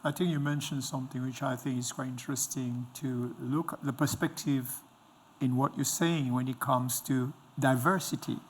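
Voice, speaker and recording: A man's voice: medium pitch, steady tone, normal speed, a little hiss or hum. A middle-aged man hosting a conversational interview in an encouraging, reflective way. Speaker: interviewer, host. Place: indoor stage with dark curtains.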